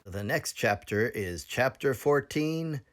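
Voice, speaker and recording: A man narrates a children's story with dramatic expression. His delivery is slow and deliberate, with lively rises and falls in pitch. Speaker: children's story reader, librarian. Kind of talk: expressive scripted read-aloud narration.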